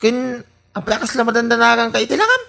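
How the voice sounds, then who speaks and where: A woman voices an exasperated, suspicious Ilocano husband in a radio comedy drama. The voice is gravelly and fairly high, with very animated pitch and a probing manner. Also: fast talker, exaggerated voice. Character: suspicious, exasperated husband questioning his spendthrift wife.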